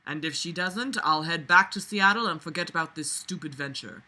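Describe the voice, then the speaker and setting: Woman putting on a forceful, brooding young businessman. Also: mid-range voice, brisk. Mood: curt. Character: smug, brooding, possessive young businessman, male.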